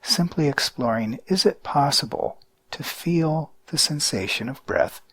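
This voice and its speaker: A man guiding a mindfulness meditation in a calm, measured way with deliberate pauses. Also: fairly high pitch, husky. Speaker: meditation guide.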